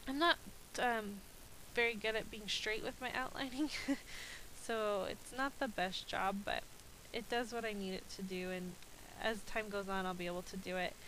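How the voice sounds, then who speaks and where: A woman's voice: mid-range voice, steady tone, normal speed, fairly bright tone, slight background noise, rough recording. A woman with a slightly stuffy, nasal voice from a cold, chatting casually with frequent ums as she narrates a voice-over about her crafting. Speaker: scrapbooking video creator.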